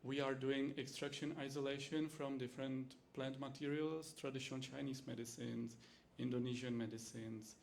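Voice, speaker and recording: A man with a Czech accent gives a lecture demonstration, his voice gravelly and low-edged with fry. He speaks at a middle pitch, stopping and restarting often as he works through English, and a faint hiss sits under the recording. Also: steady tone. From Czech Republic. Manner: halting, many fillers and restarts in second-language English. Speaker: university lecturer. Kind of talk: teaching demonstration talk.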